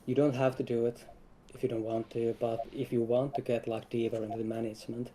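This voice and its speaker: A game developer, a man with a dark, mellow voice, explains things casually as a stream guest. His intonation stays quite flat, and there is some noise in the recording. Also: medium pitch, fairly quick.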